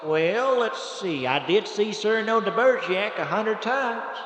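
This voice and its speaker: A man's voice: sits high, lively intonation, measured pace, a bit bright. A man playing a plucky, stubborn country everyman with an American accent and folksy bluster, in a radio horror comedy. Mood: game, wary. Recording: echoey hall with long reverb.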